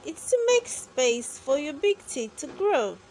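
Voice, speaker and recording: A woman voicing an excited young boy from a children's storybook, eager and proud as he explains things. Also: high-pitched, a little slow, a little hiss or hum, exaggerated voice, rough recording. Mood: explaining eagerly.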